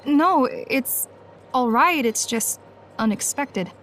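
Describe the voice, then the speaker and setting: A woman's voice: sits high, expressive, takes it slowly, a bit bright, a little hiss or hum, exaggerated voice. A woman playing an earnest, loyal, reserved leader in a video game, speaking in short, sincere phrases. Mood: taken aback.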